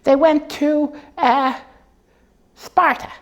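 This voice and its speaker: Woman doing a high little puppet voice, bossy know-it-all, rambly comic storytelling. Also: steady tone, drawn-out words, highs cut off. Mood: confident, rambling. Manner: confidently muddled, rambling.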